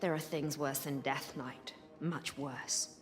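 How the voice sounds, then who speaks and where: Proud, low-voiced Indian rebel queen. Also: steady tone, faint music behind. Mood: defiant, grave. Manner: formal, measured, imperious. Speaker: video game voice actor. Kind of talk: dramatic video game cutscene acting.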